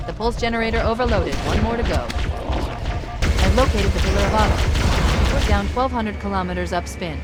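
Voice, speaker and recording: A woman's voice: medium pitch, normal speed, lightly acted. A woman playing a clever, caring female AI companion in a video game, articulate and purposeful as she briefs. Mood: brisk, businesslike. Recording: loud game music behind. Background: game music and effects.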